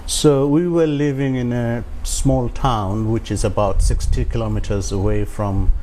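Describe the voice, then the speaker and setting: A man in his sixties with a Bangladeshi accent tells his own story as an interview guest, haltingly, with filled pauses. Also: medium pitch, steady tone, mellow, rough recording. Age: 60s.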